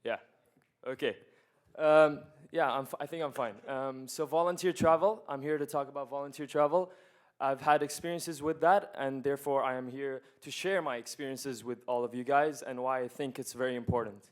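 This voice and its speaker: Young guy in his twenties presenting at a conference, smooth clear voice, lots of ums and restarts. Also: medium pitch, steady tone, moderate pace. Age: 20s. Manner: casual, hesitant with many fillers and restarts. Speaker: student presenter. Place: conference hall.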